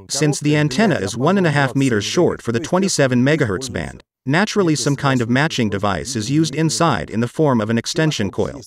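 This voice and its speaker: A middle-aged man with a Russian accent giving a scripted, matter-of-fact technical explanation as a presenter of radio reviews. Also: medium pitch. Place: small dim room with computer desk.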